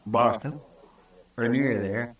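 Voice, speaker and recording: A simple frontier townsman in a vintage radio western. The delivery is folksy, halting and earnest, at a middle pitch. The old recording is roomy and slightly distant. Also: a bit bright. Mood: earnest, deferential.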